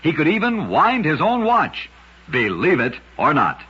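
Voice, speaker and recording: A man's voice: fairly high pitch, huge pitch swings, a little slow. A man narrating short curiosities for radio in a scripted, dramatic style with emphatic storytelling. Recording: dull lo-fi old radio recording.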